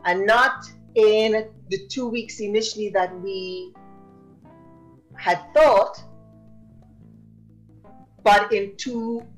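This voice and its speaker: A woman's voice: mid-range voice, fairly even intonation, very slow, pauses often, soft background music, rough recording. A woman hosting a podcast interview, speaking effusively and full of praise for her guest. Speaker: podcast host. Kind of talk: interview conversation.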